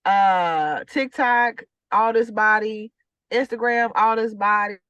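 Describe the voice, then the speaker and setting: A woman's voice: mid-range voice, slow, fairly bright tone, clear, resonant tone, no echo. A woman comedian, a guest joining by video call, chatting in a playful, self-promoting way. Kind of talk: casual chat on a video call.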